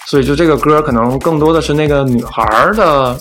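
A man's voice: on the high side, a little fast, fairly bright tone. A man co-hosting a podcast in a casual, conversational music chat. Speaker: podcast host.

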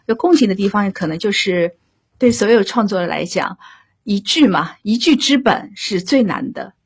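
Female interview guest, very husky and breathy, medium pitch, measured, courteous, explanatory. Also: expressive. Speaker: radio drama director, interview guest. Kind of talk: thoughtful interview answers.

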